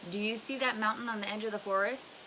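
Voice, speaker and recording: A woman's voice: medium pitch, fairly even intonation, quick pace, noisy background, close to an everyday voice. A woman reading a storybook as a blunt, scornful old woman who gives emphatic advice. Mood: firm, instructive. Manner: emphatic, questioning, instructive.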